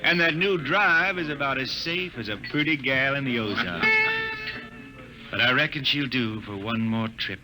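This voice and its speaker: A man's voice: mid-range voice, normal speed, natural voice. An actor playing a roguish, hard-drinking jetman and singer in a 1950s radio drama, with a drawling, irreverent rural American delivery. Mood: sly, defiant. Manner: drawling, folksy, sly, irreverent. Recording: old 1950s radio recording with music.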